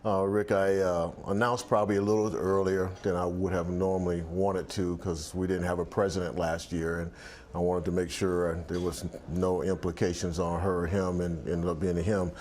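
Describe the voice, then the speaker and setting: Deep-voiced man in his sixties. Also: level tone, moderate pace, darkish tone, a little hiss or hum. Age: 60s. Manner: reflective, many restarts and fillers. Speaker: athletic director. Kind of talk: sit-down interview. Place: outdoor balcony by the sea.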